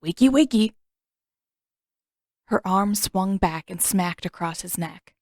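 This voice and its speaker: A woman's voice: lowish voice, steady tone, unhurried, no echo. Woman narrating fiction in a dry recording. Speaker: audiobook narrator. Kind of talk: expressive scripted fiction narration.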